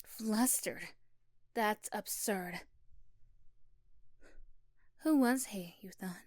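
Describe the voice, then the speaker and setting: Female ASMR storyteller, soft and hushed with an unhurried pace, intimate dry close-mic sound. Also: mid-range voice, no room sound. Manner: soft, hushed, often slipping into whispers. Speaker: ASMR roleplay narrator. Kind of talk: scripted second-person story narration, ASMR. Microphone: close, dry microphone.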